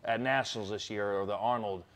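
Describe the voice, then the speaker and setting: Male athlete guest, young adult, fairly low voice, eager plainspoken answers. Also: fairly even intonation, a little fast, fairly bright tone. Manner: eager, plainspoken, listing things off. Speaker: strongman competitor, guest. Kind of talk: casual interview answers.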